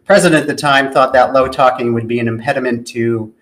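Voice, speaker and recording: A man of middle pitch answers interview questions as a podcast guest. He speaks softly and calls himself a low talker. His answers are measured and articulate, and he often builds them up as lists. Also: fairly even intonation. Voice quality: low, soft-spoken.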